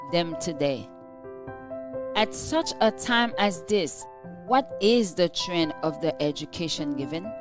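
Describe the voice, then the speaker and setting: A woman reading a scripted devotional in a measured, formal, earnest way. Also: lowish voice. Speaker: devotional reader. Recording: music playing behind the voice. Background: music.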